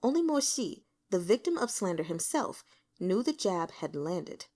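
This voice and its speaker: Lady reading a fantasy novel out loud, dramatic storytelling, really animated pitch. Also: moderate pace. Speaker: audiobook reader. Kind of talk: expressive scripted narration of a fantasy novel.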